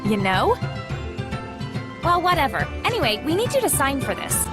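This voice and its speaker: A woman playing a perky, brisk, encouraging young messenger in an animated game, breezy and businesslike. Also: sits high. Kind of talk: animated game cutscene acting. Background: loud music.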